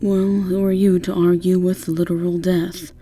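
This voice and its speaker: Lower-voiced woman narrating a fantasy tale. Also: little pitch movement, normal speed, dark tone. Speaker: narrator and voice actor. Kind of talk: scripted second-person fantasy roleplay narration.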